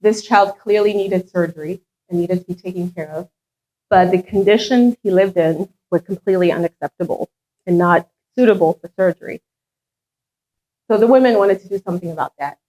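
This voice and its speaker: A woman's voice: lowish voice, fairly quick, mellow, muffled recording. A middle-aged woman who grew up in France and whose first language is French, leading a charitable foundation and giving an earnest story-driven speech with a touch of self-deprecating humor. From Ghana, raised in France. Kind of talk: public speech at a meet and greet.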